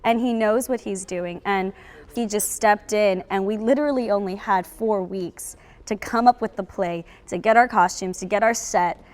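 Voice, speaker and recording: Young female interviewee, mid-pitched, earnest and emphatic, recorded with long room reverb. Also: moderate pace. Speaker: high school drama cast member. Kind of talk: reflective interview answers.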